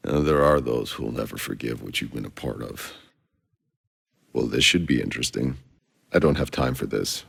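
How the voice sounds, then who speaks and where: A man voicing a gruff, sardonic, battle-hardened soldier hero in a video game, with a terse, dry delivery. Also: a bass voice, normal speed, a bit bright, no room sound, full character voice. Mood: curt, guarded. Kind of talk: video game character voice lines.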